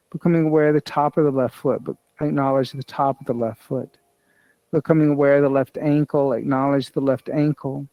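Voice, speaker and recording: A man with a slightly high voice guides a meditation as a yoga nidra teacher. His phrasing is measured and repetitive, like step-by-step instruction. The sound is very dry, with no room echo. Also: dark tone.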